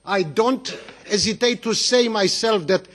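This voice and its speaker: A man's voice: high-pitched, a bit bright. A man from Romania, giving an emphatic, indignant speech as a member of parliament at a committee hearing. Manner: indignant, emphatic, with halting restarts.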